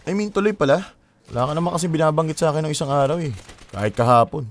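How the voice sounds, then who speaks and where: A man's voice: a little high, expressive, very fast. A man with a Filipino accent playing a distracted, evasive young gamer boyfriend in casual radio drama dialogue, defensive and full of excuses. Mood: defensive, sheepish. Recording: faint background ambience.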